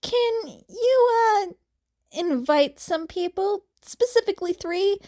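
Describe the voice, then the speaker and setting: A woman's voice: very high voice, expressive, slow pace, warm tone, voice pushed up, full character voice. A woman voicing an eager, awkward, grateful teenage girl in a story. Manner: hesitant, gushing.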